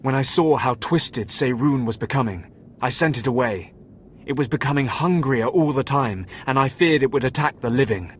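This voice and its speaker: An actor playing a remorseful keeper at a Sith academy, speaking in a measured, somber way. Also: male voice, mid-range voice, a little hiss or hum, natural voice. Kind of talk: video game voice acting.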